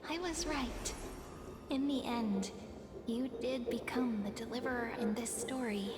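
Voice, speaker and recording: A woman voicing a serene, mysterious young woman in an anime game, soft, tender and dreamy. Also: fairly high pitch, measured pace, natural voice. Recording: long reverb, loud music.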